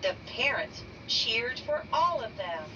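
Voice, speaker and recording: A woman reading a children's picture book aloud slowly and deliberately, raising her pitch for the characters' lines. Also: sing-song. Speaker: read-aloud narrator. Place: bedroom, indoors. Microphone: phone camera held over the book. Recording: dull lo-fi sound from a distance with noise.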